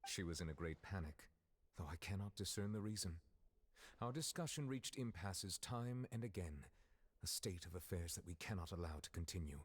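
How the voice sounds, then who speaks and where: An actor playing a solemn, burdened judge in a game, speaking formally and gravely. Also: male voice, low voice, animated, moderate pace. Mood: grave, deliberate. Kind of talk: game voice acting.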